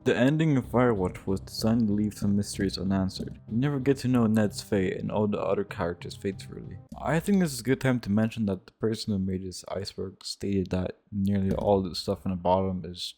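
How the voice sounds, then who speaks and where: Low-voiced man narrating over guitar music. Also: a little fast, hardly any pauses, dark tone. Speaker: video essay narrator. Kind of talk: casual scripted video game commentary. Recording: music behind the voice.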